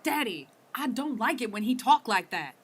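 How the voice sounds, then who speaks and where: A woman's voice: on the high side, big pitch swings, moderate pace, husky. A woman playing a young, cold-blooded backwoods daughter in an audio drama, blunt and matter-of-fact, with rustic speech. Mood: wary. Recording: faint background noise.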